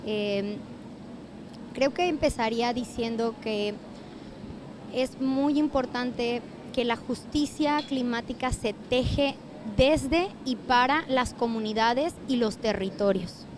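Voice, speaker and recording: A woman on a conference panel, warmly thanking the audience before giving her short answer. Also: fairly high pitch, slow pace, background noise. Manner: grateful, warm thanks. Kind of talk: panel remarks. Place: conference venue.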